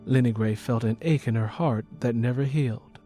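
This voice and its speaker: A man's voice: medium pitch, normal speed, mellow, faint music behind. A man narrating a story as scripted audiobook prose.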